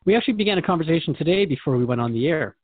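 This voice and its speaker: A man's voice: a little high, animated, very fast, dry recording. A man hosting a radio show in an earnest, inquisitive, conversational manner, recorded dry and somewhat muffled.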